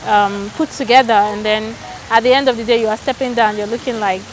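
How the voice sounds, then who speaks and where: A woman's voice: fairly high pitch, steady tone, a little fast, a little hiss or hum, poor audio. A Nigerian woman with a Nigerian accent, chatting candidly and with animation as an interviewee. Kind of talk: casual outdoor interview.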